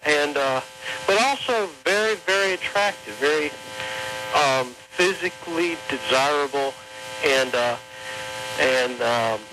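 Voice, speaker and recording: A man on a radio phone-in telling a story in a halting, deliberate, earnest-sounding way. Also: on the high side, lively intonation. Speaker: caller to a radio show. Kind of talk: slow, hesitant phone-in anecdote. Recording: humming, noisy radio phone call.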